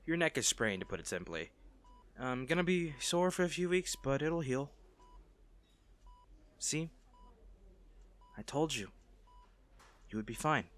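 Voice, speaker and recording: A man playing a kind, worried young male rescuer in a roleplay audio drama, speaking in a gentle, hesitant, reassuring manner. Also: on the high side, sing-song, a little slow, pauses often. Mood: relieved, gentle. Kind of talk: acted first-person roleplay audio drama.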